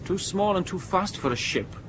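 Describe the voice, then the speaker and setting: A man's voice: high voice, lively intonation, moderate pace, a bit bright, slight background noise. An actor playing an observant crewman reporting to his captain, a little hesitant, in a radio drama. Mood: alert. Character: observant, deferential crewman puzzling over controls.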